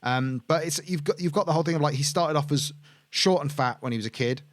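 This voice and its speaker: A man's voice: a little high, fast, no room sound, studio quality. Man, rambling and self-correcting. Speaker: podcast co-host. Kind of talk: casual chat.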